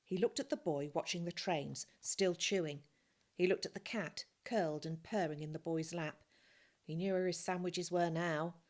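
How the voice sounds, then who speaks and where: A woman's voice: lowish voice, moderate pace. A woman narrating a children's novel as a scripted reading.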